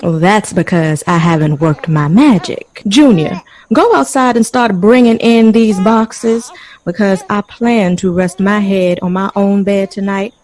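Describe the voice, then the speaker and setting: An actress playing a brisk, bossy, upbeat 1920s mother in an audio drama. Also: medium pitch, a little mellow, lightly acted. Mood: reassuring.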